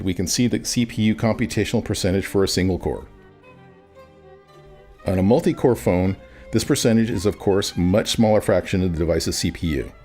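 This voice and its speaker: Low-voiced man narrating a scripted tutorial. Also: a little mellow. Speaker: narrator, product demonstrator. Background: background music.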